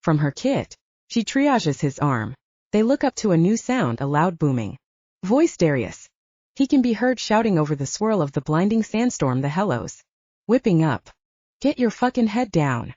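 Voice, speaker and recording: A woman's voice: on the low side, very animated, normal speed, dark tone, no room sound, lo-fi sound. A woman reading an audiobook, narrating dramatic fiction in a scripted style.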